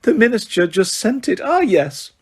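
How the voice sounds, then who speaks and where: A man's voice: high voice, sing-song, moderate pace, breathy edge, voice pushed up, exaggerated voice. A man voicing a simpering female official with a sickly sweet, fussy way of talking.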